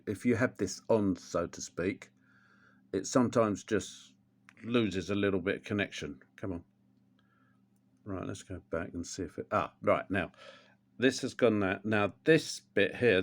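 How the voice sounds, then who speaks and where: An older man, chatting casually through a how-to demonstration at home, rambling with plenty of ums and restarts. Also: lowish voice. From England. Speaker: motorcycle vlogger.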